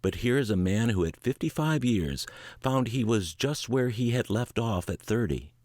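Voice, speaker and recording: A low-voiced middle-aged man reads a book aloud word for word, steady and earnest. His intonation swings widely and sinks at the close of each sentence. The recording is dry and close, with no room sound. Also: no echo. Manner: measured, earnest reading with dips at sentence ends. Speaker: audiobook reader.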